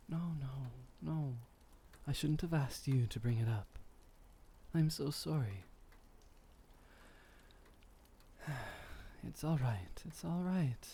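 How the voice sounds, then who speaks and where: A tender, courtly male vampire , played by a man, murmurs comfort in a very unhurried, dark and velvety voice. His phrasing is formal and old-world, delivered intimately as ASMR roleplay over light background noise. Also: medium pitch. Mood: apologetic, soothing. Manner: soft, soothing, old-fashioned formal phrasing, breathy sighs.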